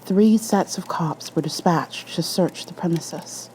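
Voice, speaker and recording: Woman reading creepypasta asmr, really low mellow voice, some ambient noise behind her. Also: slow pace, low-quality recording. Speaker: narrator. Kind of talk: scripted horror story narration, ASMR.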